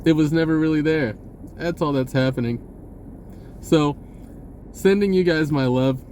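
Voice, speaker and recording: A man with a fairly high-pitched voice delivers a casual, unscripted monologue. He speaks in short, broken phrases and often circles back on himself as he goes. Some background noise sits faintly behind his voice. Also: measured pace, a little mellow. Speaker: vlogger giving a personal message.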